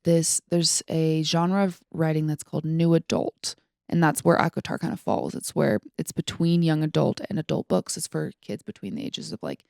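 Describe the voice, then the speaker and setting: A woman's voice: sits low, normal speed, vocal fry, a little mellow, no echo, clean studio sound. A woman in her twenties chatting casually about books as a podcast co-host, in an informal way peppered with "like". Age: 20s.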